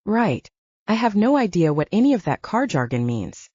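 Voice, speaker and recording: A woman's voice: medium pitch, very animated, normal speed, dark tone, breathy edge, no echo. A woman voicing a playful, teasing female character in an audiobook romance. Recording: dull lo-fi audiobook recording.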